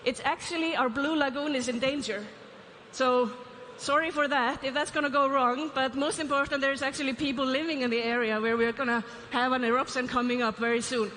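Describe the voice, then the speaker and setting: High-voiced Icelandic woman on stage. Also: steady tone, brisk, a bit bright, slight background noise. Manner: asks the audience questions, light asides. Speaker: keynote speaker, CEO. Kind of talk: conference keynote. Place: large conference stage. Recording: reverberant hall, faint ambience.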